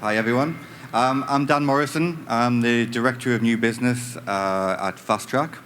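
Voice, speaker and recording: A man's voice: medium pitch, moderate pace, fairly bright tone, a little hiss or hum. Hesitant man speaking on a panel. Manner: hesitant, many fillers. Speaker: panelist, business director. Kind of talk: conference panel talk. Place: conference stage.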